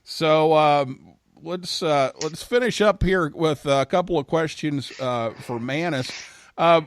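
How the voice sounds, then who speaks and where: A man's voice: a little high, takes it slowly, no room sound. A man hosting a radio show, talking casually as he interviews a guest.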